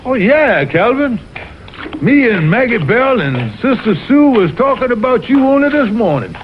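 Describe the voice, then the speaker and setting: An actor voicing a blustering, scheming Southern fox colonel in an old TV cartoon, with pompous, flowery talk and a Southern US accent. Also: male voice, very high-pitched, sing-song, moderate pace. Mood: wheedling. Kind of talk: 1960s TV cartoon acting. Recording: muffled, noisy old television soundtrack.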